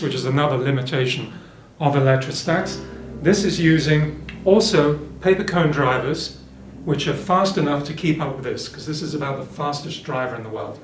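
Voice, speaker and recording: A man giving an informal, detailed product demonstration as an exhibitor, explaining technical points. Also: a little high. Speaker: exhibitor presenting hi-fi equipment. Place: indoor hi-fi show demonstration room. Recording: roomy show demo room with music playing. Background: music playing.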